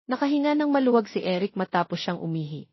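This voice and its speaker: A female storyteller narrates romance fiction in a dramatic, scripted style. Her voice is a little husky, at a middle pitch, and the recording is somewhat dull. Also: sing-song, quick pace, no echo.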